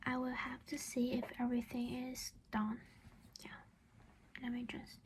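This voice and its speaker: A woman whispering breathily and close to the microphone in a gentle, reassuring ASMR roleplay as a caring, protective vampire mother. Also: on the high side, monotone, unhurried, slight background noise.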